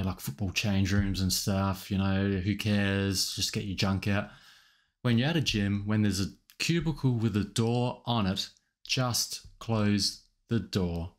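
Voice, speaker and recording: A man in his thirties venting in a casual, exasperated monologue, speaking emphatically and often restarting his sentences, recorded in a small home studio with a desk microphone. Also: on the low side. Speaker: vlogger. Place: small home studio room lit purple. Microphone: desk microphone in front of him.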